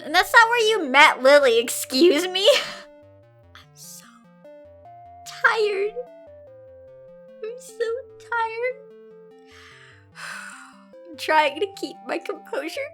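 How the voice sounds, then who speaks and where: A woman reading aloud as a show host, with an exasperated, expressive, theatrical manner and music behind her. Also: extremely high pitch, drawn-out words, pauses often. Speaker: host of a comedic fan fiction reading show. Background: background music.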